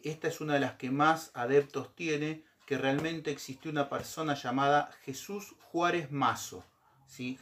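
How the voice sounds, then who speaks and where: A middle-aged man telling a folk legend to camera from a living room sofa. He explains step by step and keeps checking in with his listeners. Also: on the high side. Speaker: storytelling show host. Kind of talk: casual storytelling to camera.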